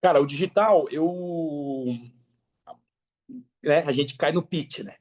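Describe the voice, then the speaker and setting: Brazilian man, fairly high-pitched, talkative, candid conversational storytelling, slangy, frequent tag questions. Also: takes it slowly, a little mellow. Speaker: podcast guest.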